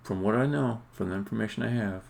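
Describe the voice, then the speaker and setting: A man, middle-aged, a game developer talking casually and opinionatedly through a live-coding stream, halting at times and then emphatic. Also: on the low side, gravelly, a little mellow.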